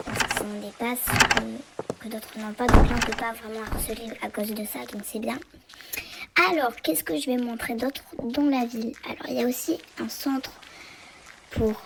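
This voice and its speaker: A young French woman, hoarse and often half-whispering, giving a rambling, hesitant tour of a block-building game server. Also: a little high, background noise. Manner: rambling, hesitant, many fillers and restarts. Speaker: game channel host. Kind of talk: casual game tour commentary.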